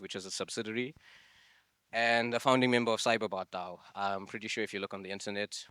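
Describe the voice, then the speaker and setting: Young guy from zimbabwe presenting, bright crisp voice, low-ish, dry sound, lots of likes and ums. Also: no echo. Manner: loose, conversational, lots of "like" and "um". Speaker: masterclass speaker. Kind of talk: live presentation to an audience.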